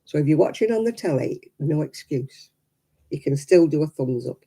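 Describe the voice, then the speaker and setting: A British woman with a croaky, hoarse voice chats casually to live viewers in a wry, teasing way. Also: sits low, expressive, moderate pace, dark tone. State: hoarse after illness. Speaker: livestreaming crafts vlogger.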